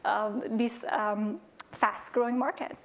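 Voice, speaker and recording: A woman's voice: on the high side, fairly even intonation, slow. A woman in her thirties from Afghanistan, answering on a conference panel in earnest, with many fillers, on a lapel mic. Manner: earnest, many fillers, non-native phrasing. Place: large conference stage.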